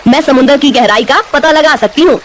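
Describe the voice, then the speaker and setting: A woman voicing a proud, eager serpent queen in a confident, insistent manner for an animated story. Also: high voice, a little fast, slight background noise, lightly acted. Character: proud, eager shape-shifting serpent queen, young female.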